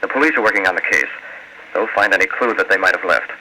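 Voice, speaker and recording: Composed newspaper boss, noisy radio. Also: male voice, low-pitched, quick pace, pushed and bright. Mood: grave. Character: composed, authoritative newspaper boss, secretly a masked crimefighter. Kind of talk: 1940s radio acting. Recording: noisy old radio recording.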